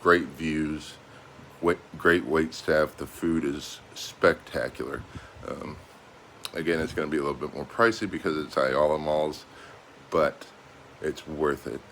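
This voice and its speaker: Very deep-voiced American man, casual vlogger. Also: steady tone, unhurried, a bit bright, slight background noise. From United States. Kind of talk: casual vlog monologue.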